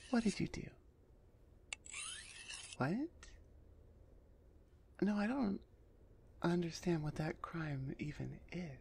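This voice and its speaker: A woman voicing a gruff female minotaur executioner in an ASMR roleplay, husky and often half-whispered, impatient and sardonic with her captive. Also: on the low side, animated, takes it slowly, long pauses, darkish tone, slight background noise, full character voice. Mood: taunting, then baffled.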